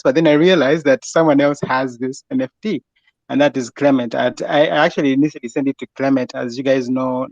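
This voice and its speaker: A man joining a casual audio chat as a guest, talking haltingly with many fillers. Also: medium pitch, no room sound, muffled recording.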